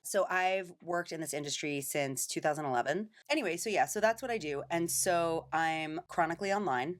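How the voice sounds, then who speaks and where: A woman in her thirties from New York with a New York accent, chatting on a podcast and telling stories quickly, full of fillers. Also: low-pitched. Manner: chatty, fast storytelling with lots of fillers. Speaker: podcast guest. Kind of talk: casual chat.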